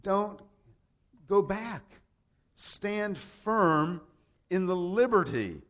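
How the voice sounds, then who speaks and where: A man's voice: high-pitched, lively intonation, extremely slow, fairly bright tone. A man from Rome, Italy, preaching a sermon in an emphatic, conversational way, with rhetorical questions and repeated phrases. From grew up in Rome, Italy, to American parents. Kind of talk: sermon with scripture and personal anecdotes.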